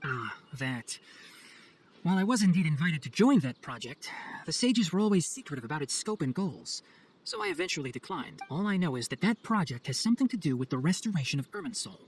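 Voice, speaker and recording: A man's voice: fairly high pitch, big pitch swings, a little fast, a little hiss or hum, rough recording. A man playing an earnest, pragmatic young forest scholar in a video game, articulate and thoughtful. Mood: calm. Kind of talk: video game cutscene voice acting.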